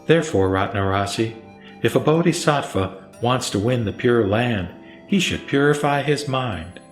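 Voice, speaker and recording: A man's voice: medium pitch, expressive, moderate pace, faint music behind. A man reciting scripted Buddhist scripture as narrator, solemn and formal in manner.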